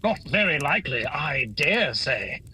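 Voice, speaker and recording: A man's voice: fairly high pitch, sing-song, measured pace, pushed and bright, slight background noise, full character voice. A man playing a bumbling, greedy working-class husband in a radio play, overwrought and comically pompous. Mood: indignant. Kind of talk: holiday radio play acting.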